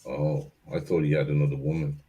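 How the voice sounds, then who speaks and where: A man chatting casually on a livestream, asking and commenting in a hesitant, halting way. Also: a bass voice, flat delivery, mellow, highs cut off. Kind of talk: casual chat.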